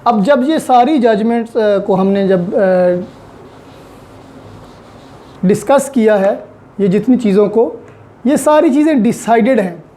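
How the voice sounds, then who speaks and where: A woman's voice: fairly high pitch, slow, long pauses, warm tone. A woman with a Pakistani accent giving an explanatory law lecture, didactic and repeating key points, switching between two languages.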